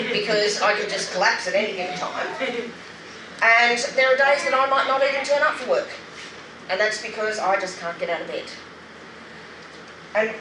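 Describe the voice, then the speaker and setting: A middle-aged Australian woman tells her own story as a speaker at a public event. She is emphatic and candid, with a dry wit. Her voice comes from a distance in an echoing space, with clear noise in the recording. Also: medium pitch. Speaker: guest speaker, anti-poverty group member sharing her story. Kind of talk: personal testimony in a public speech. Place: roomy venue at a public event. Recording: roomy, noisy, distant event microphone.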